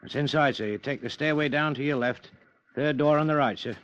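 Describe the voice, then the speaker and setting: A man's voice: fairly high pitch, fairly quick, fairly bright tone, clean tone, lightly acted. Casting: male actor as young British bobby, very polite yet firm, radio drama, dulled old sound. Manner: courteous, deferential. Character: young, very polite but firm police constable. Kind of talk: 1950s radio drama acting. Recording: muffled vintage radio recording.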